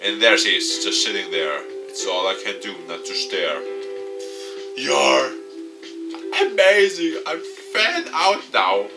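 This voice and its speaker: A man hosting a let's play, reading story text aloud and adding excitable asides. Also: lowish voice, slow, gravelly. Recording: distant mic with music behind. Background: guitar game music.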